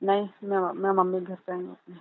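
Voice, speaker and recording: Woman on a phone call, gravelly edge, pushy and accusing, muffled tinny line. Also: lowish voice, completely flat delivery, normal speed, background noise. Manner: insistent, accusing. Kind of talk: casual phone chat.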